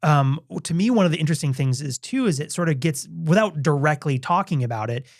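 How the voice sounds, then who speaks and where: Chatty, enthusiastic man with a slightly high voice. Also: lively intonation, quick pace. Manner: enthusiastic, many restarts and fillers. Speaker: podcast co-host. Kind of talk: casual chat.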